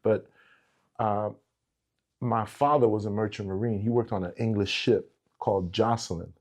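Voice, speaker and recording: A man's voice: on the low side, fairly even intonation, normal speed, darkish tone. A middle-aged man born in Portugal, a former teacher talking in a casual conversation, emphatic and given to repeating words as he builds a point.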